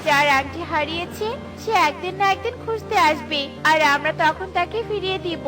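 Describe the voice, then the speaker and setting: A woman voicing a gentle, honest, poor mother bird in a fairy-tale cartoon, patient and pleading. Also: very high-pitched, brisk. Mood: gentle, reassuring. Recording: music bed behind the voice.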